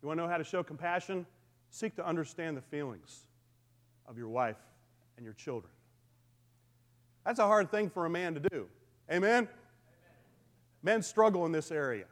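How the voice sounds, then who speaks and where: A middle-aged man with a booming voice, preaching a conversational sermon to his congregation. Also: moderate pace, pauses often. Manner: lively, conversational preaching with call-and-response. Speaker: pastor.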